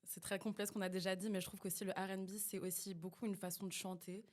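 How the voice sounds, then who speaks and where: A woman's voice: medium pitch, little pitch movement, fast talker, a little mellow. A female R&B singer talks as a guest on a podcast. She speaks casually, uses slang, and hesitates between thoughts.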